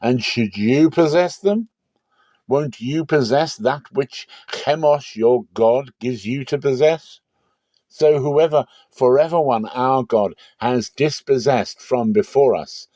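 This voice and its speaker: A man's voice: medium pitch, takes it slowly, mellow, no room sound, pitched above the performer's own voice. A man reading as a proud, declaiming warrior chieftain. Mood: indignant. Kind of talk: dramatic Bible reading.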